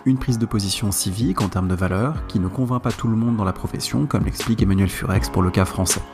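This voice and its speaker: A man's voice: on the low side, fast talker, over music. A man reading scripted linking narration as a podcast host.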